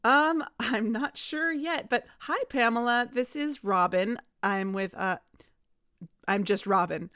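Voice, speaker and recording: A woman's voice: fairly high pitch, slow, a bit bright, smooth, no echo, clean studio sound. A woman playing an earnest amateur investigator in a scripted podcast drama, speaking conversationally. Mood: nervous, polite.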